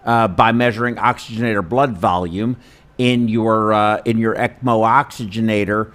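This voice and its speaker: A man hosting an informal instructional webinar, talking in a chatty, self-deprecating, halting way. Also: fairly low pitch, fairly even intonation, a bit bright. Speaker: host and perfusionist instructor. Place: studio.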